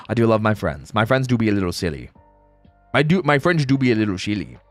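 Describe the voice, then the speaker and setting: Man, livestreamer, very animated intonation, casual slangy chat, playful replies to viewers, close dry sound. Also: fairly low pitch, fairly quick, no echo, faint music behind.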